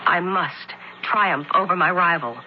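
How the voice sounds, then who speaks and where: A low-pitched, scheming young noblewoman, acted by a woman in an old radio drama. She speaks with formal, deliberate command and cold pride. The dull broadcast recording carries clear noise. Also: close to an everyday voice. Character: vindictive, proud, scheming young noblewoman of ancient Pompeii.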